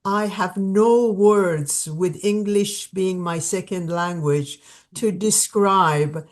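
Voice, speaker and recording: Slow, hesitant woman hosting a video call. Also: fairly low pitch. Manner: hesitant, many fillers and restarts. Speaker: host of an online gathering. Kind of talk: warm spontaneous welcome and introduction.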